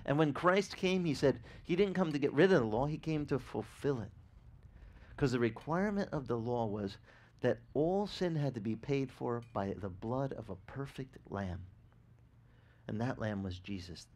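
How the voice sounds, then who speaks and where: Lively middle-aged pastor preaching on a beach. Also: male voice, medium pitch, normal speed. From grew up speaking Italian. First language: Italian. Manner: animated, gesturing, asks the crowd questions. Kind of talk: outdoor sermon. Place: sandy beach outdoors.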